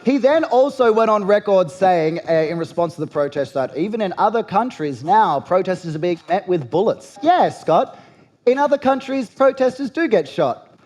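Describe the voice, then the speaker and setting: A man's voice: high-pitched, animated, moderate pace, a little mellow. A young Australian man hosting a late-night talk show, delivering a sardonic satirical monologue with punchy jokes.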